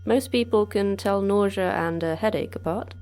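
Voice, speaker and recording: An actress playing the terse, deadpan manager of a secret research facility in an audio drama. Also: female voice, mid-range voice, normal speed, faint music behind, natural voice. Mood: deadpan, wry. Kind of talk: audio fiction acting.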